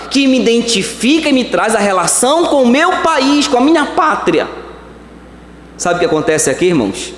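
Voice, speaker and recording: A Brazilian man preaching a sermon as pastor, swinging between slow emphatic words and quick phrases. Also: high-pitched, slight background noise. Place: church hall. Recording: echoey church hall.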